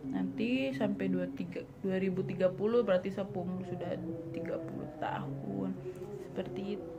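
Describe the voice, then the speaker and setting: A woman's voice: mid-range voice, fairly even intonation, moderate pace, gravelly. Blunt young Papuan woman vlogging. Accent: Papuan Indonesian. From Papua, Indonesia. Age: 20s. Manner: blunt, teasing, mixes in English words. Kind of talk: casual Q&A vlog.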